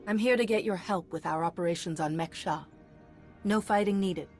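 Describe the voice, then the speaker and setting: A woman voicing a composed, principled heroine in a video game, measured and earnest. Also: on the low side, darkish tone, soft background music, close to an everyday voice. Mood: calm.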